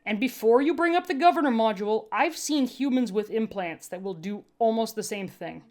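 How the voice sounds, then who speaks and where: Woman voicing a gruff, emphatic, weary medic. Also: a little high, animated, normal speed, darkish tone, voice pushed up, natural voice. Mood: argumentative. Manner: blunt, emphatic, sweary.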